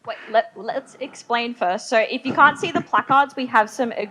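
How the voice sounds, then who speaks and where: A woman's voice: on the high side, moderate pace, bright, forceful tone, slight background noise, rough recording. Joking woman on a convention panel. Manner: joking, laughing. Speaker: panelist and esports caster. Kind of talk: casual live panel chat. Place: convention stage before a crowd.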